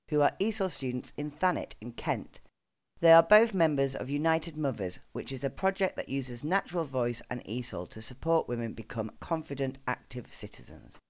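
A woman presenting a podcast in scripted narration, as a host and teacher. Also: extremely low pitch.

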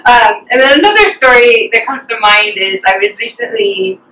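A young woman from the United States talks casually as an interview guest, thinking aloud with plenty of fillers. Her voice is bright and clear-edged. She sits back from the microphone in an echoey room. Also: a little high, very animated, moderate pace. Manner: chatty and reflective, with frequent fillers. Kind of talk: casual personal storytelling. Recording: roomy echo, distant from the mic.

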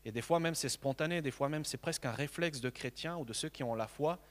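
Earnest halting preacher in a reverberant hall. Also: male voice, a little high. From Mexico. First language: Spanish. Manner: earnest, halting with frequent repeated words. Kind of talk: sermon.

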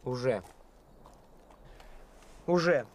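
An actor playing a nervous, self-mocking young stage actor in a radio play, theatrical and wry. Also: male voice, medium pitch, expressive, very slow. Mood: uneasy. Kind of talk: 1980s Soviet radio play acting.

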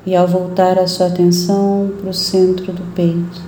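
Brazilian female meditation guide, very mellow and soft-toned, slightly low, gentle pacing with long pauses. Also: little pitch movement, slight background noise.

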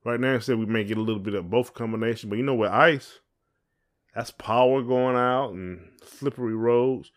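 A man's voice: mid-range voice, moderate pace. A man hosting a casual podcast chat, talking in an informal, colloquial way. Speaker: podcast host.

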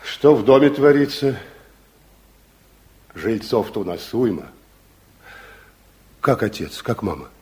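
A man's voice: fairly low pitch, animated, slow pace, long pauses, darkish tone, slightly rough, exaggerated voice. An actor playing a weary, earnest, watchful secret policeman in a fatherly, probing way, in a 1960s Soviet radio play. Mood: friendly, probing. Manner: probing, repeats words back. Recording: muffled-edged 1960s radio drama recording.

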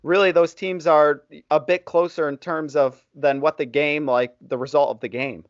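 A man's voice: fairly high pitch, steady tone, moderate pace, no echo, lo-fi sound. A man co-hosting a casual sports podcast, stubbornly contrarian and holding his ground. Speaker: podcast co-host.

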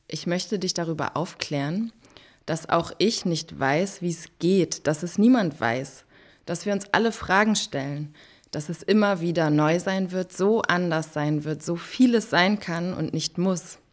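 A woman performing a scripted spoken-word monologue with insistent, list-like repetition. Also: fairly low pitch. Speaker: performer in an educational audio play.

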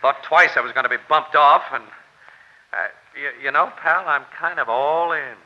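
An actor playing a weary, wisecracking crime photographer with a slangy, grumbling American delivery in a 1940s radio drama. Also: male voice, fairly high pitch, normal speed, natural voice. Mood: worn out. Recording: dull vintage radio recording, faint music.

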